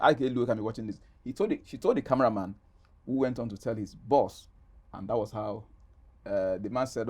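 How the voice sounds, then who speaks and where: A man's voice: medium pitch, a little mellow. Male interview guest, middle-aged, Nigerian accent, emphatic conversational storyteller. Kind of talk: animated personal storytelling in conversation.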